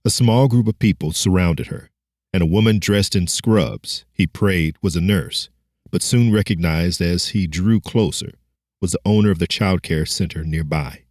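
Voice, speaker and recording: A man's voice: low-pitched, normal speed, darkish tone, dry recording, studio quality. A man giving a dramatic scripted narration as an audiobook storyteller.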